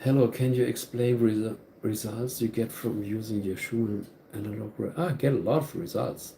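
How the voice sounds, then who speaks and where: A man's voice: on the low side, steady tone, moderate pace, vocal fry, dark tone, a little hiss or hum. A man with a German accent, German his first language, hosting a live stream and talking earnestly to viewers, often repeating and restarting his phrases. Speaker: channel host.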